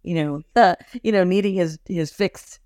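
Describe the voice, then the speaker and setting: A woman's voice: fairly low pitch, moderate pace, a little mellow, no room sound, studio quality. A woman co-hosting a podcast, chatting casually and recapping a TV episode, laughing often and acting out scenes. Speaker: podcast co-host.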